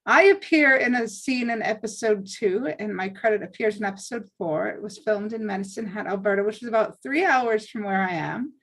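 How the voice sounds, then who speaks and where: A woman speaks at a medium pitch. She presents herself as a performer in an earnest and assertive manner, in a casual style. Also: fairly quick, hardly any pauses, a bit bright, poor audio. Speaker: actress and aspiring voice actor introducing herself. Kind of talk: casual self-promotion in an online group.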